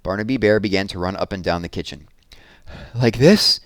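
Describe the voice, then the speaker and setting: A man's voice: low voice, fairly even intonation, fast talker, no echo, clean studio sound. A man reading storybooks aloud for children in a storytelling style. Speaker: storybook reader.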